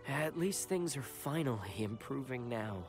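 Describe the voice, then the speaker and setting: Man acting grateful village fisherman, earnest, halting, medium pitch, game dialogue, music clearly behind. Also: expressive, lightly acted. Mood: earnest, weary. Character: grateful, humble fisherman villager, a father in hard times.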